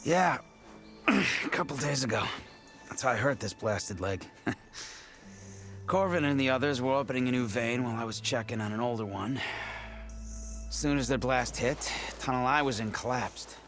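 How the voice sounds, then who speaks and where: A man's voice: medium pitch, moderate pace, pauses often, fairly bright tone, faint music behind, lightly acted. Man playing weary, grumbling injured miner. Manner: blunt, colloquial, grumbling. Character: injured, weary, plain-spoken male mine worker. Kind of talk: scripted video game dialogue.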